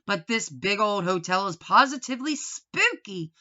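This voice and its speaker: A woman's voice: medium pitch, animated, moderate pace, sharp tone, muffled recording. A woman voicing a fretful, reluctant mother on a family trip in a storybook read-aloud. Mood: fretful protest.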